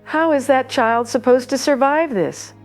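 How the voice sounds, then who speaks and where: An actress playing a worried older woman who calls a radio show, speaking earnestly and gravely. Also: high voice, a little slow, faint music behind. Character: worried older woman radio caller, long-time local. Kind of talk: audio drama acting.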